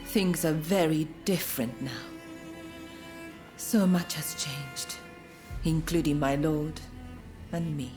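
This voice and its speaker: Gentle, troubled, low-voiced woman. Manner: polite, formal, hesitant. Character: gentle, earnest, troubled woman torn by loyalty to her lord. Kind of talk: dramatic video game dialogue. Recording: music behind the voice.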